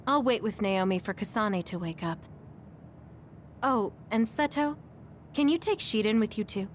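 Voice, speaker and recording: A woman's voice: medium pitch, moderate pace, a little hiss or hum, close to an everyday voice. An actress playing a friendly, caring, teasing young woman in a military squad in a video game. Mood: gentle. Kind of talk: English video game dub acting.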